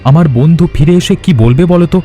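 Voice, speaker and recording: Cartoon role: man voicing a reproachful young husband, relaxed mellow tone, faint music. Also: a little high, quick pace, close to an everyday voice. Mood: exasperated. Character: honest young husband, exasperated. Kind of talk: cartoon voice acting.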